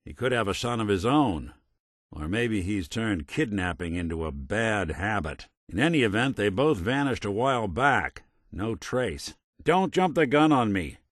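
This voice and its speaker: An actor playing a world-weary, wisecracking robot private detective in a video game, with an American accent and a wry, streetwise gumshoe delivery. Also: male voice, lowish voice, sing-song, measured pace, no echo, clean studio sound. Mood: matter-of-fact. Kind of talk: scripted game dialogue in a hardboiled noir detective manner.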